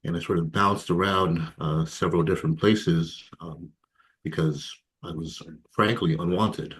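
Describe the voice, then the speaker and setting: A man in his thirties from Ghana speaks in a very low voice, sharing his life story as an interview guest. He is reflective and halting, with fillers and soft laughs. The delivery is candid and unhurried. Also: fairly even intonation. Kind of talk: candid personal testimony.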